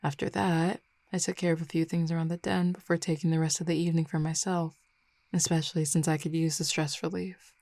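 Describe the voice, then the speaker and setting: A woman playing a flirty, easygoing female werewolf in a roleplay audio drama, relaxed, confident and teasing in an intimate, unhurried conversation. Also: deep voice, dry recording. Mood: casual, chatty.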